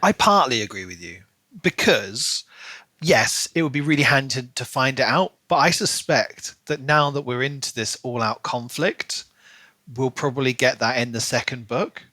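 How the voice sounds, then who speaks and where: A man's voice: mid-range voice, moderate pace, sharp tone, no echo. A man co-hosting a podcast, chatting casually and giving his opinions about books, sometimes acting out imagined quotes. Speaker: podcast co-host. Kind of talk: casual book discussion chat.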